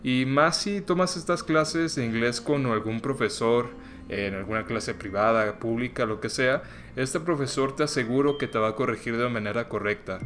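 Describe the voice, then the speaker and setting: A man's voice: mid-range voice, moderate pace, fairly bright tone. A young man from Mexico, hosting a podcast in a casual, joking way. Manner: chatty and joking, acts out quoted voices. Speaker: podcast host. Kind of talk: casual solo podcast talk. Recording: clear music playing under the voice.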